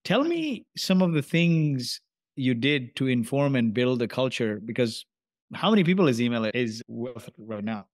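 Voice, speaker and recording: A male podcast host eagerly agrees with his guest and winds up into lengthy questions. The sound is dry and close, with no room echo around his mid-pitched voice. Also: very animated, moderate pace. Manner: enthusiastic, affirming, long wind-up questions. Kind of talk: podcast interview.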